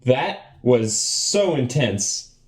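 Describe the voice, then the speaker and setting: An eager, gullible company man in a radio comedy, acted by a young woman. The voice is extremely low, with an earnest presenter's delivery. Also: expressive, extremely slow, a little mellow, exaggerated voice. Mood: amazed, puzzled. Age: young adult. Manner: credulous, earnest. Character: eager, naive male corporate co-presenter Tom. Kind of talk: comic radio play, scripted sidekick.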